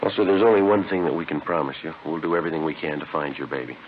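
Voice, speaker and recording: A man playing a terse, methodical police detective sergeant in a vintage radio drama, deadpan and clipped. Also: very deep voice, very fast, slight background noise. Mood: businesslike. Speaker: lead actor and narrator in a radio police drama. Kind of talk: 1950s radio drama acting, terse first-person narration. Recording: muffled vintage radio recording.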